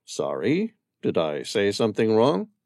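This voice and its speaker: A man's voice: fairly low pitch, wildly swooping pitch, a little slow, darkish tone, dry recording, clean studio sound, heavily acted. A man reading aloud as a curious male stranger who keeps asking pointed questions. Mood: probing. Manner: inquisitive, persistent questioning.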